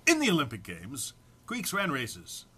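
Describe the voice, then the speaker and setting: A man's voice: medium pitch, wildly swooping pitch, a little slow, pushed and bright, slightly rough. A man giving a theatrical, amused dramatic reading of a humorous written text, with emphatic phrasing. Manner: amused, prone to breaking into laughter. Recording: faint background noise.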